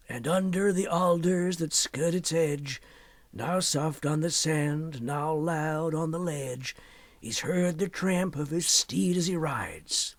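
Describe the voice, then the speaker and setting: A man with a husky voice reciting a narrative poem theatrically, swelling and dropping toward hushed tones. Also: a little high, no room sound. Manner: theatrical, with swells and hushed drops to near whisper. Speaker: poetry reader.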